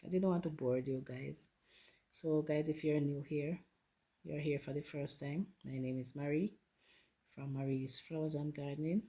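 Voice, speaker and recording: A Jamaican woman hosting her gardening channel, chatting casually with viewers while planting, hoarse from a flu and often calling them guys. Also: sits low, steady tone, normal speed. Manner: warm, grateful, addresses viewers as guys. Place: home garden.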